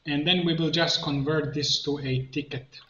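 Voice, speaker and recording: A man with a fairly high, bright voice talks through a software tutorial step by step. His delivery is slow and halting, as if thinking aloud while he clicks. The recording is muffled and lo-fi. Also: fairly even intonation. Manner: halting, instructional, narrating each click. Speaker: coach giving a software tutorial. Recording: muffled lo-fi, roomy echo, background noise.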